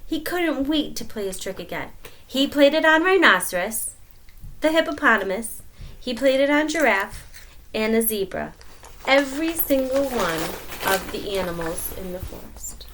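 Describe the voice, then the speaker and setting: Animated woman reading a picture book aloud. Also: fairly high pitch, low-quality recording. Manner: animated, with dramatic pauses and emphasis. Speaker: storyteller reading aloud to a child. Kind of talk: expressive children's picture-book read-aloud.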